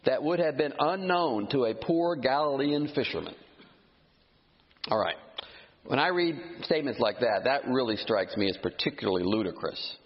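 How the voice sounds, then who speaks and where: A man preaching a sermon, emphatic and animated, scornful of his critics, with frequent restarts. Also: medium pitch. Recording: muffled sound with long hall reverb.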